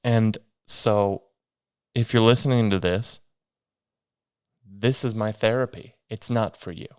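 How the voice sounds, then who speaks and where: A man's voice: fairly low pitch, takes it slowly, clean tone, dry recording, clean studio sound. A young man hosting a solo podcast, rambling casually with a sniffly, stuffy nose. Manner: rambling, self-deprecating, meandering restarts. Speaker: podcast host.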